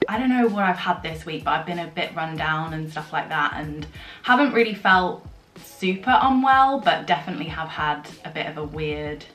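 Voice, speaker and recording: Slightly husky woman vlogging. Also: deep voice, animated, moderate pace, fairly bright tone. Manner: rambling, filler-heavy storytelling. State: slightly husky from a sore throat. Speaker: beauty vlogger. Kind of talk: chatty get-ready-with-me vlog. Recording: echoey room with faint music.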